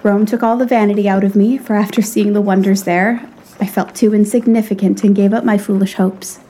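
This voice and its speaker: A woman's voice: mid-range voice, steady tone, fairly quick, dark tone, natural voice, rough recording. A woman playing a proud, frank young lady artist in a period audio drama, with formal, old-fashioned phrasing. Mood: resigned, determined.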